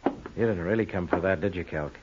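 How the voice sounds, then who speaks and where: An actor playing a brusque, self-assured, cagey gambling boss with clipped, sardonic lines in an old-time radio crime drama. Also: male voice, deep voice, brisk, dull audio, very noisy, natural voice. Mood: cool, sardonic.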